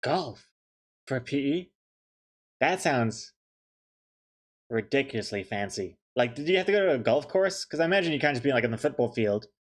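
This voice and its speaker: A man's voice: medium pitch, lively intonation, normal speed, pauses often. A young man chatting casually on a stream, joking and thanking viewers as he goes. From New Zealand. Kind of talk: casual chat while drawing on stream.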